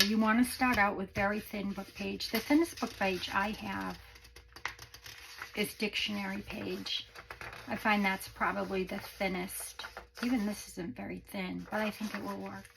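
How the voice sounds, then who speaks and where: A woman hosting a crafting video, chatting casually through a tutorial with a croaky voice, rambling and self-deprecating. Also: mid-range voice, background noise. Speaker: crafting channel host.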